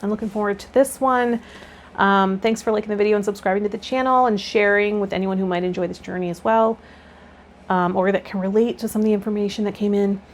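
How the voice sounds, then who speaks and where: A woman's voice: medium pitch, fairly even intonation, quick pace, slight background noise. A woman hosting a tarot video, reading card meanings aloud and adding casual commentary.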